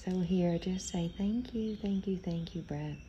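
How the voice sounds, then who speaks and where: A woman leading a guided meditation in a soothing, gentle, unhurried manner, pausing often between phrases. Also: fairly low pitch, muffled recording, a little hiss or hum.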